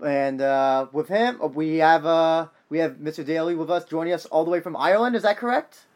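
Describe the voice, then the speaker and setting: A man with an American accent hosting an interview podcast in a halting way, often repeating words. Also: a little high. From United States. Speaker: podcast host.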